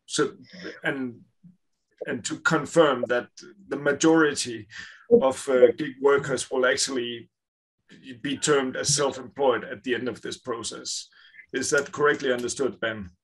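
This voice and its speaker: A man moderates an online debate, politely handing over questions and summing them up for the panelists. His voice is a bit husky. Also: medium pitch, fairly even intonation, measured pace, a bit bright, low-quality recording. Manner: polite, guiding the discussion.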